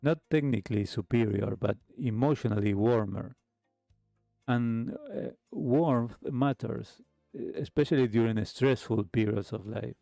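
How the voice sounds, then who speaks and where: Male talk host, reflective and hesitant, unhurried delivery, dry close sound. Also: mid-range voice, gravelly, warm tone, no echo. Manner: hesitant, halting phrasing with pauses. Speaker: host of a retro radio talk show. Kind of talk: reflective livestream monologue to listeners. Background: background music.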